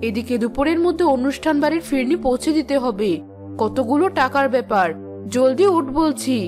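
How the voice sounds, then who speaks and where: A woman's voice: sits high, fairly quick, faint music behind, close to an everyday voice. A woman voicing a bad-tempered, stingy middle-aged village aunt in a cartoon, scolding and sarcastic. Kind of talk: children's cartoon voice acting.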